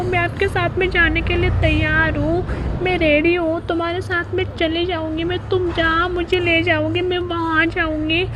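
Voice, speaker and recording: Man mimicking a very high-pitched, plaintive young wife. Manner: plaintive, complaining. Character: aggrieved, scheming young married woman pleading to elope. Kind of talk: mimicked phone-call comedy skit. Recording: muffled, noisy phone-call style recording.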